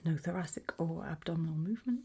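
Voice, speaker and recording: A British woman doing an ASMR roleplay as a doctor, whispering gently and breathily, with hesitant pauses. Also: low-pitched, breathy edge.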